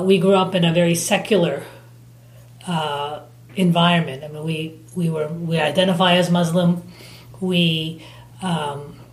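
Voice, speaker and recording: A woman in her forties speaks in a slightly low voice, reflective and hesitant, filling her pauses with ums. The room around her is echoey and reverberant, as in an interview setup. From United States. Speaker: interviewee, mother of a teen.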